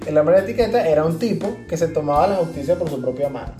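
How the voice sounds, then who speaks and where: A woman's voice: sits low, animated, quick pace, reverberant, over music. A woman with a Venezuelan accent chatting casually as a podcast co-host, explaining things through examples.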